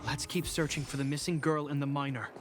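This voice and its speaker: Earnest young soldier, played by a man, fairly high voice. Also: steady tone, fast, close to an everyday voice. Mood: urgent. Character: earnest, protective young male soldier, steady older brother. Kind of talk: video game voice acting. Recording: game music behind the voice.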